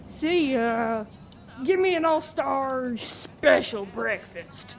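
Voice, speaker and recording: A woman's voice: high voice, animated, extremely slow, a little hiss or hum, heavily acted. A woman playing a friendly, folksy diner customer in a farcical radio play.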